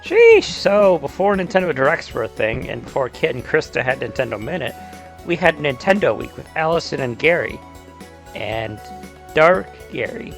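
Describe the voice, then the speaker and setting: Gravelly-edged man narrating a casual video essay. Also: a little high, very animated, moderate pace, soft background music. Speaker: YouTube host.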